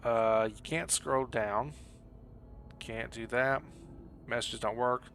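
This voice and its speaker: A man's voice: medium pitch, fairly even intonation, slow, fairly bright tone. A man live-streaming a video game, chatting casually with his viewers in a rambling, joking, apologetic way. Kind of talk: casual chat with stream viewers while gaming. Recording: clearly audible background noise.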